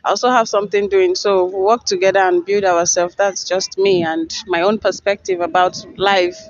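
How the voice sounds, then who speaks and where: A woman's voice: medium pitch, fairly even intonation, moderate pace, no room sound, highs cut off. Giggly young Nigerian woman answering. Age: 20s. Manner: giggly, hesitant, thinking aloud. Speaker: interviewee. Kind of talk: casual street interview answers. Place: outdoors on a lawn by trees. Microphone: handheld mic held out by interviewer.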